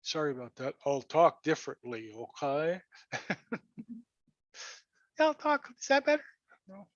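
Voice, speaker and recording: A hoarse man hosting a casual online talk show, halting and restarting his sentences while he reads out viewer comments. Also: a little high, huge pitch swings, dry recording, dull audio. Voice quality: husky. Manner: halting, repeats and restarts words. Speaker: host.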